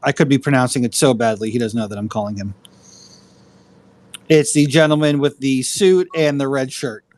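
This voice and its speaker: Man, co-host, friendly, apologetic, quick asides, moderating. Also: medium pitch, a little mellow. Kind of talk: moderating speakers.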